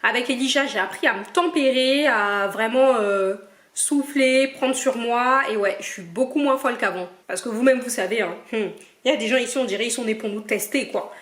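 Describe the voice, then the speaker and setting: Young woman, from Cameroon, vlogger, chatty, playful, fairly high voice, echoey room. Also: normal speed, fairly bright tone. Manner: chatty and playful, reads out questions and teases viewers. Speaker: YouTuber answering viewer questions. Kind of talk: casual Q&A vlog.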